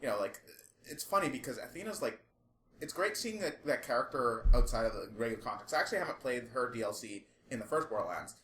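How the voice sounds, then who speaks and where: Male podcast co-host, casual chat, exasperated animated delivery, mid-pitched voice, echoey room, some background noise. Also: quick pace. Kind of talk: casual podcast chat about movies and games.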